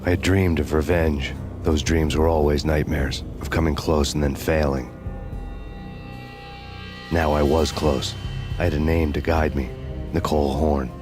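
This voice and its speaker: World-weary American noir avenger for game narration. Extremely low, monotone, wry, over music. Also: male voice, a little slow, pauses often. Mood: grim, brooding. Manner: deadpan, sardonic. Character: vengeful world-weary hard-boiled male detective, bitterly wry.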